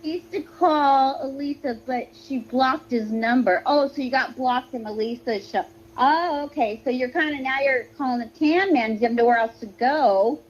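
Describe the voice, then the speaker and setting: A woman's voice: sits high, moderate pace, slightly rough. A woman chatting and arguing on a livestream call, hesitant and rambling, over a poor phone connection. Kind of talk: casual argumentative livestream chat. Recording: muffled, noisy, breaking-up phone connection.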